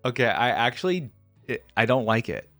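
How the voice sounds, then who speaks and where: A man's voice: medium pitch, big pitch swings, moderate pace, fairly bright tone, soft background music. A young man giving casual reaction commentary, thinking aloud and addressing viewers in a musing, tentative way. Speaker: reaction video host.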